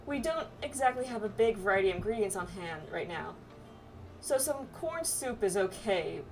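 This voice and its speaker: A woman's voice: medium pitch, animated, moderate pace, darkish tone, recorded from a distance, natural voice. Woman voicing a courteous camp-cook astrologer, music behind. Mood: cheerful, hesitant. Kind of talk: voiced game dialogue.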